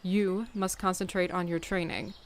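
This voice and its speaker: A woman voicing a measured, commanding female cat who leads her clan in an audiobook fan dub. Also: fairly low pitch, fairly even intonation, lightly acted. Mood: stern. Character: authoritative mature female cat clan leader, measured and stern.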